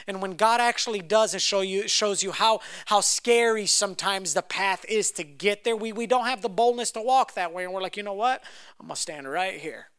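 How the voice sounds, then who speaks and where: A young man whose first language is Romanian, preaching a sermon and telling a personal story, often restarting on repeated words as he builds to loud, fervent passages. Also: high-pitched, clear, resonant tone, no room sound, studio quality.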